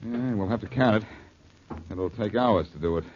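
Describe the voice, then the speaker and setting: An actor playing a cocky, wisecracking amateur detective in a 1940s radio drama, needling and wry. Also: male voice, lowish voice, moderate pace, noisy background, lightly acted. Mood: wry, teasing. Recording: dull lo-fi 1940s radio recording.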